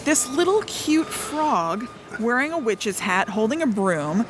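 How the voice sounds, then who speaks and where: A woman's voice: a little high, very animated, slow. Playful woman chatting outdoors amid traffic noise. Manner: playful, slangy, agreeable. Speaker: podcast co-host. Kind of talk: casual chat while sightseeing. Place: outdoors on location near traffic. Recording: noisy outdoor recording with traffic.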